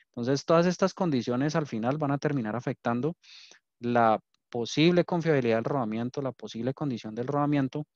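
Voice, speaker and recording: A man's voice: medium pitch, a little fast, a little mellow, no room sound. Colombian guy from cali giving a technical webinar, checks in a lot, dry lo-fi meeting sound. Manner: explanatory, frequent "¿sí?" check-ins. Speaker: engineer and technical trainer. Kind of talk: webinar presentation. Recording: dull lo-fi online meeting audio.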